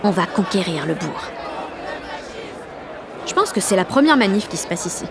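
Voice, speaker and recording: A woman's voice: medium pitch, very animated, moderate pace, vocal fry, a crowd in the background, background noise. A woman playing a cocky, exalted sixteen-year-old girl activist, narrating an audio drama in brash, confiding slang. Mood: euphoric. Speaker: lead actor and narrator.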